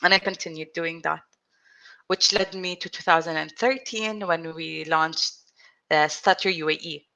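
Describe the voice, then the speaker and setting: Woman speaking with a stutter, low voice. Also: vocal fry, pushed and bright. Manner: speaks with a stutter, frequent restarts and pauses; reflective, earnest. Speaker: interview guest, support group founder. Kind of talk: personal storytelling in conversation.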